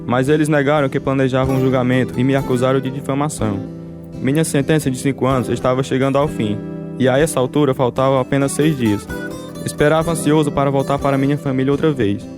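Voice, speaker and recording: An actor as a devout male prisoner, forgiving and grave, with a measured delivery and a medium-pitched voice. It is radio drama, with guitar music clearly behind him. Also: fairly even intonation, natural voice. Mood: grave narrating. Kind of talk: radio drama narration and dialogue.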